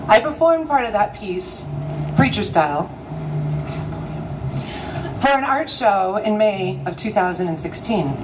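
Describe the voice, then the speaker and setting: A woman writer performing her own piece as a live spoken-word reading, with a theatrical, declamatory delivery. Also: mid-range voice. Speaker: writer and magazine editor performing own work. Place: city alley at a live event. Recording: distant mic, noisy outdoor ambience.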